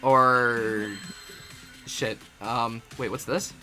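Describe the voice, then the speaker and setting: A man's voice: medium pitch, steady tone, drawn-out words, bright, forceful tone, soft background music. A man playing a game with friends, exclaiming and joking, with dramatic line readings. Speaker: fan dub voice performer playing a game. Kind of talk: animated group game read-through and banter.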